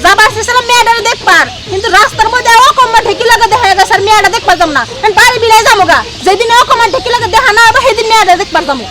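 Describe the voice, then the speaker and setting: A woman's voice: extremely high pitch, very fast, few pauses, over a noisy crowd, heavy background noise. A woman with a Bangladeshi accent playing a snobbish, scornful mother-in-law in a comedy drama, haughty and scolding. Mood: angry scorn. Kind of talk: Bengali comedy drama acting.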